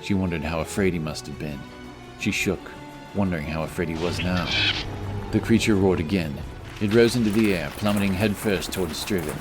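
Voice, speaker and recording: A man's voice: low voice, a little fast. A man reading scripted fantasy narration dramatically, with music playing behind him.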